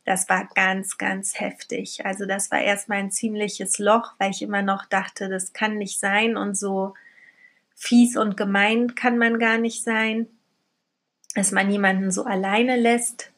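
A middle-aged woman from Berlin chats in a medium-pitched voice. She talks like a personal vlogger and storyteller, informal and candid, in plain colloquial words. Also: flat delivery, unhurried, a bit bright. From Berlin, Germany. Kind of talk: casual personal vlog chat.

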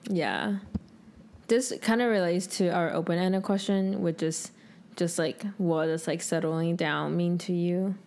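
A woman's voice: lowish voice, steady tone, unhurried, vocal fry, a little mellow. A young adult woman co-hosting a podcast in casual chat, thinking aloud in informal speech peppered with "like". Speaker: podcast co-host.

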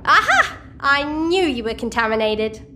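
Chatty aussie teen girl in an audio drama, high bouncy voice, cheeky and nosy. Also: big pitch swings, a little slow, sharp tone, a little hiss or hum, full character voice. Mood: playful, curious. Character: chatty, nosy, quirky teenage girl hacker. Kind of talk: audio-fiction drama dialogue.

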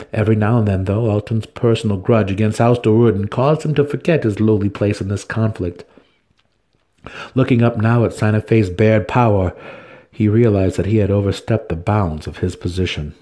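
A man's voice: on the low side, dark tone. A man giving a dramatic reading of a fantasy story as its narrator. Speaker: audiobook narrator.

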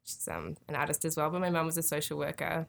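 A woman's voice: low-pitched, quick pace, gravelly, a little hiss or hum. A young Australian woman with an Australian accent, a guest in a casual interview, talking hesitantly with many ums and easy laughs. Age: young adult.

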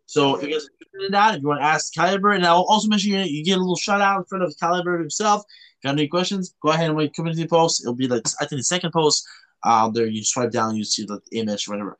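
A man from Canada hosting a casual podcast, talking in a halting way and often rephrasing himself. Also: fairly high pitch, fairly bright tone. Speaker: podcast host.

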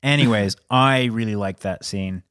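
A man's voice: on the low side, normal speed, a bit bright, clean tone, dry recording, studio quality. A man co-hosting a casual film review podcast, talking informally and ready to debate.

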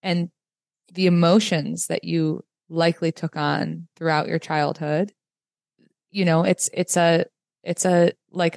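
A woman giving a guided reading in a calm, explanatory manner. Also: on the low side, creaky, no echo, clean studio sound. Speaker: human design reader, host.

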